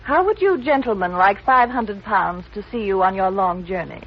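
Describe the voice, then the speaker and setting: A woman's voice: mid-range voice, expressive, moderate pace, husky. An actress playing a gracious but coldly scheming married lady in a 1950s radio crime drama. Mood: composed, commanding. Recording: noisy muffled 1950s radio recording.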